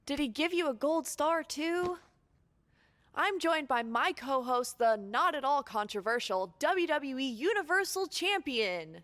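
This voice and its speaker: A woman's voice: high voice, lively intonation, a little slow, a bit bright. A woman playing a sardonic, unimpressed wrestler co-host in a video game, with dry, teasing delivery. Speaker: wrestler co-hosting a show. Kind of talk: scripted video game cutscene acting.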